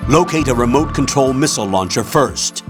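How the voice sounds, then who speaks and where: An actor playing a brisk military adviser in a video game, giving mission instructions in a matter-of-fact way. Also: male voice, mid-range voice, expressive, close to an everyday voice. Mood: instructive, calm. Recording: game soundtrack music underneath.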